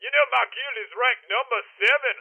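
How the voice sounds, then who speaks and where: A man's voice: extremely high pitch, brisk, vocal fry, heavily acted. A man voicing a haughty, easily irritated online gaming nerd in a comedy radio sketch, prissy and boastful. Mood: smug, boastful. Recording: dull, band-limited lo-fi audio.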